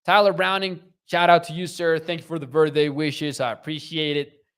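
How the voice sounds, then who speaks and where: A fairly high-voiced young man speaking English with a Mexican accent, hosting a casual live sports podcast. He talks conversationally, reacting to and reading out comments from his chat. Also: level tone, moderate pace, a bit bright, clear, resonant tone. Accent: Mexican Spanish. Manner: conversational, reads viewer comments aloud. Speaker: podcast host.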